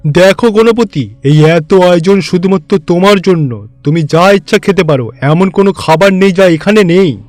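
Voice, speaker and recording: A man voicing a boastful, grandiose wealthy god-king in a cartoon fairy tale, pompous and loud. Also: sits high, normal speed, dry recording, close to an everyday voice.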